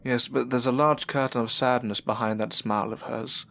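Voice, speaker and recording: A man's voice: medium pitch, steady tone, moderate pace, vocal fry, fairly bright tone. An actor playing a polite, anxious young man with a hesitant manner in an audio drama. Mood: sombre resolve. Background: music.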